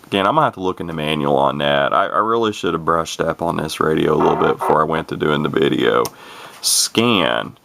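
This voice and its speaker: A man giving a casual, unscripted radio demo in a folksy, rambling way, thinking out loud as he goes. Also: extremely low pitch, brisk, vocal fry, noisy background. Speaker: hobbyist demonstrating a CB radio.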